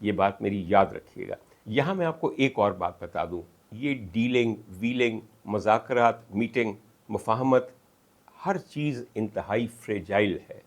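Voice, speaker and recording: A man with a Pakistani accent delivering political commentary as an emphatic, oratorical monologue, with dramatic pauses and piled-up lists. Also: fairly low pitch. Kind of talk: rhetorical monologue of political analysis.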